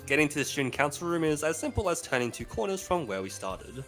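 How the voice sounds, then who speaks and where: A man streams a game, reading the story aloud in a soft-spoken way. His pitch moves around freely and he slips into casual, sweary banter. Faint music plays behind him. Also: very animated, quick pace. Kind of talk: narration mixed with casual banter.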